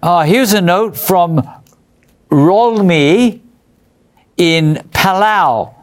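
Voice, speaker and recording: A man's voice: high-pitched, drawn-out words. A man hosting a religious study program, reading out viewer letters and putting questions to his group and viewers.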